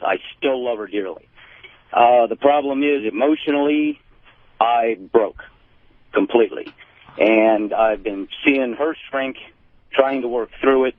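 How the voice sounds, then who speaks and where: A middle-aged man calling in to a radio show, confiding in a halting, matter-of-fact way. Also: medium pitch. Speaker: phone-in caller. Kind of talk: candid personal confession in casual chat. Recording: tinny phone line on radio.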